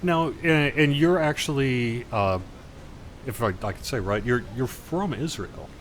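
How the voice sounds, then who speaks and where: A man's voice: medium pitch, unhurried, slight background noise. A man hosting a casual interview, asking chatty questions full of fillers. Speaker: interviewer, community host.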